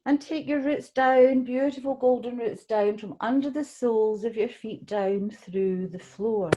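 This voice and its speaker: A woman leading a guided relaxation meditation, speaking gently and soothingly, unhurried, with pauses between phrases. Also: fairly high pitch. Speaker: guided meditation leader, tai chi qigong teacher.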